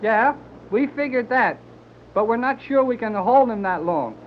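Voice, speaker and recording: An excitable young male sidekick with folksy exclamations. The sound is a dull, lo-fi old radio serial recording with faint hiss. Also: extremely high pitch, unhurried. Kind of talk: 1930s radio acting.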